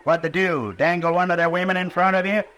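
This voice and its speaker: An actor playing a stubborn, grumbling old hog farmer with a rural Western American accent in an old-time radio western. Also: male voice, high-pitched, steady tone, fast, a bit bright, highs cut off. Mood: combative. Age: older. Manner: folksy, grumbling, combative.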